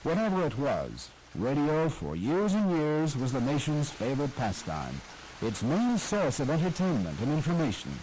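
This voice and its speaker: A man's voice: a little high, huge pitch swings, moderate pace, a little mellow, slight background noise, poor audio. A man hosting a program on radio history, giving a scripted, nostalgic, storytelling narration.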